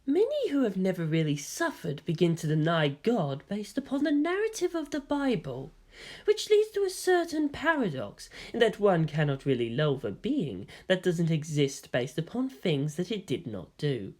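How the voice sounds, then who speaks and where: Lively woman reading a story aloud. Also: on the low side, normal speed. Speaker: audiobook reader. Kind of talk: reading fan fiction aloud.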